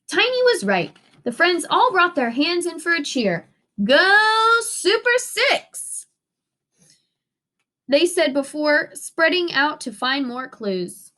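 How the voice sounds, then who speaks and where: A woman teacher reads a picture story aloud for children. Her voice is high, bright and animated, and she narrates expressively while guiding listeners with questions. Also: measured pace, hardly any pauses. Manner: expressive, instructive.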